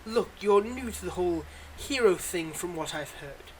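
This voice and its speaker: A man's voice: sits high, expressive, moderate pace, poor audio. Guy acting as a wry teasing supervillain who's secretly kind, chatty audio roleplay, bit of noise in the background. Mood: wry, earnest. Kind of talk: roleplay audio acting, intimate monologue to listener.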